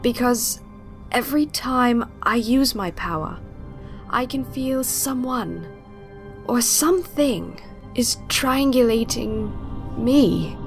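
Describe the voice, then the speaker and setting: A woman's voice: a little high, expressive, slow pace, vocal fry, soft background music, exaggerated voice. A woman playing a wry, hesitant professor in a pulp adventure audio drama. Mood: uneasy. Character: wry, uneasy woman professor with secret power.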